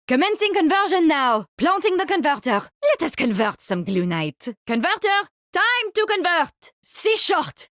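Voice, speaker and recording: A woman's voice: high-pitched, a bit bright, dry recording, studio quality, full character voice. An actress voicing a polite yet sinister woman virologist in a video game, with a French accent and a theatrical, articulate delivery. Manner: theatrical, articulate, mock-courteous. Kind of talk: video game character voice lines.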